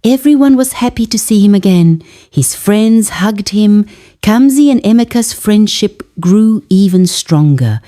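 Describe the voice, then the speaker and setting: A woman narrating a scripted folktale in a measured, dramatic storytelling manner. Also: mid-range voice, lively intonation, warm tone.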